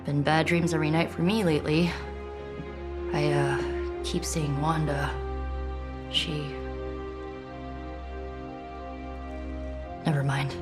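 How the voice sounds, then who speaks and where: A woman's voice: deep voice, little pitch movement, a little slow. A woman playing a young sardonic sorceress in a video game, with an American accent and a casual, teasing, talkative manner. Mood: uneasy. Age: young adult. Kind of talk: video game voice acting, conversational. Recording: background music under the dialogue.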